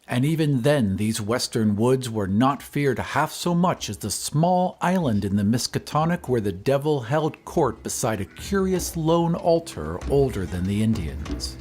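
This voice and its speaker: A man reading a horror story as scripted dramatic narration, in a measured, literary storytelling manner. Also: medium pitch, animated, a little mellow. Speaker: narrator of an audio drama podcast. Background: ambient sound effects.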